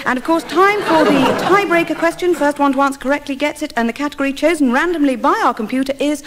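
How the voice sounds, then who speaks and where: A woman hosting a spoof radio quiz with brisk, breezy presenter patter. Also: high-pitched, a crowd in the background, background noise.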